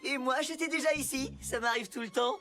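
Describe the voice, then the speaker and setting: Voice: sits high, a little fast, music in the background. An actor voicing a hapless, resigned little motorbike in a French children's cartoon dub.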